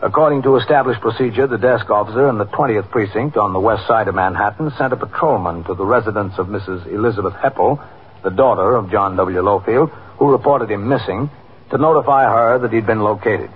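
A man's voice: on the low side, slight background noise, natural voice. Man as composed police captain, dull radio recording. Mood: formal, factual. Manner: measured, matter-of-fact. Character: composed, official police captain narrating his shift. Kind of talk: 1950s radio drama acting and scripted narration.

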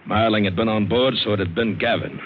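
An actor playing a hard-boiled, cynical adventurer who narrates an old radio drama, terse and bitter. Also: male voice, on the low side, fast, slight background noise, close to an everyday voice. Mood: tense, suspenseful. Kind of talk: 1940s-50s radio drama narration and acting. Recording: dull old radio broadcast with music.

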